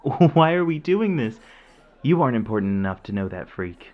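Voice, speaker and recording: A man's voice: mid-range voice, very animated, moderate pace, dull audio, lightly acted. A man playing a smug, sadistic corporate villain in an audio roleplay, taunting with sarcastic, mock-polite manners. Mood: cold, condescending. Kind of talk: ASMR audio roleplay acting.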